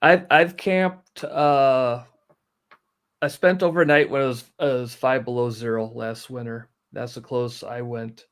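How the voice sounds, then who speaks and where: A man, explaining things in a practical, low-key way as a guest in a casual interview. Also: fairly even intonation, unhurried, clear, resonant tone. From northern Wisconsin, United States. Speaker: guest, outdoor amateur radio operator. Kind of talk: casual live stream interview.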